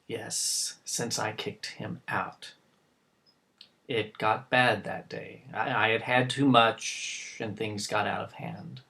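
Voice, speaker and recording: Man acting proud middle-aged father, stern yet regretful, defensive, halting delivery, mid-pitched, drama dialogue. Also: animated, a bit bright.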